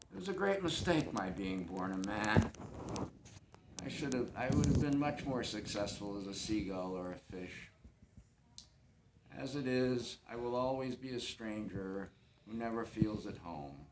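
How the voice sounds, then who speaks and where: Man acting a brooding poetic dreamer, echoey room. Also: on the low side. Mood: bitter, melancholy. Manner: lyrical, intense, swelling then hushed. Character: brooding, poetic young man, wistful sea-dreamer, melancholy. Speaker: actor performing a monologue. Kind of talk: theatrical dramatic monologue. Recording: echoey room with faint background noise.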